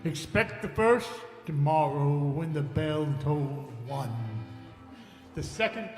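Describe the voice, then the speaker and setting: Wailing ghost in cavernous reverb. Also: male voice, fairly high pitch, expressive, darkish tone. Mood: grave warning. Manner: slow, declamatory, wailing, drawn-out delivery. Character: tormented male ghost of a dead miser, mournful and ominous. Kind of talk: radio-style stage audio drama acting. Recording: reverberant echoing voice over faint music.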